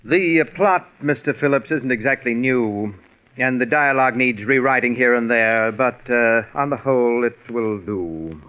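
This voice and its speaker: An actor playing a pompous, coldly sarcastic, tyrannical radio director in 1940s radio drama style, with a haughty, deliberate, condescending manner. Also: male voice, medium pitch, clean tone, lightly acted. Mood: cool disdain. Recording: muffled, phone-thin 1940s radio recording.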